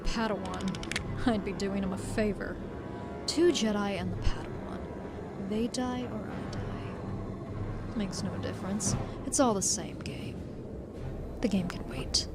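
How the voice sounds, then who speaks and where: A woman's voice: medium pitch, slow pace, pauses often, close to an everyday voice. A woman playing a cold, playful, deadly bounty hunter in a comic dub, taunting as she talks to herself. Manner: languid, taunting, talking to herself. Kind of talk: dramatic comic dub acting. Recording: loud music under the voice.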